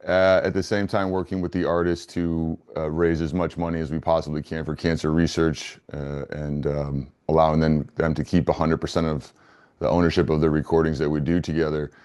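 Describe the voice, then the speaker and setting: A man with a low, clean, resonant voice answers interview questions conversationally. He sounds earnest and measured, with little rise and fall in his pitch. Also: little pitch movement, a little mellow. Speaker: interview guest, business founder.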